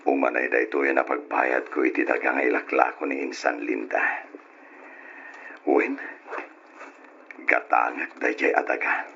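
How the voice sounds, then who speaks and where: An actor playing a hard-drinking, stubborn husband and father in an old radio drama, with a gravelly Ilocano delivery. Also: very deep voice, sharp tone, a little hiss or hum, full character voice. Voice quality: gravelly, husky. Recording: dull lo-fi old radio recording.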